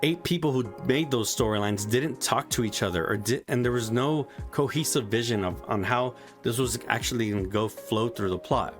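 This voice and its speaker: Man, game reviewer, frustrated ranting, lots of swearing, mid-pitched voice, soft music behind.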